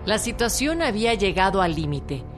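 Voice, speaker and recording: A woman's voice: medium pitch, big pitch swings, normal speed, music in the background. A woman, narrating a scripted historical radio drama.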